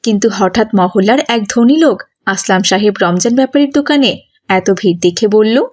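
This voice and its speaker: A woman's voice: mid-range voice, moderate pace, darkish tone. A woman narrating a scripted moral story for a children's cartoon.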